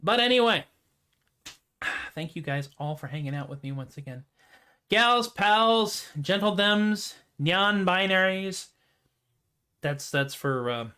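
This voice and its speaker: A man hosting his own music livestream, chatting casually with self-deprecating jokes and trailing off into quiet asides. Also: on the high side. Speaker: host and singer-songwriter. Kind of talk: casual livestream chat after a song.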